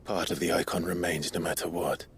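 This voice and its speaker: A man playing a grave, gentle young hero in a video game, speaking in a measured, breathy near-whisper. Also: deep voice, little pitch movement, slight background noise, natural voice. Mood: grave, earnest. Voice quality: breathy, often half-whispered. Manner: solemn, measured. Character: gentle, grave young male hero bearing heavy burdens. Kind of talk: video game cutscene acting. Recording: music behind the voice.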